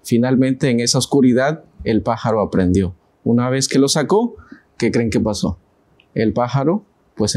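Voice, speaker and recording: A man's voice: medium pitch, a little mellow. A man in his thirties with a Mexican accent, hosting a studio Bible study and putting questions to the panel, with frequent hesitations. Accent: Mexican Spanish. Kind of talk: casual Bible study discussion. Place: TV studio set. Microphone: lapel.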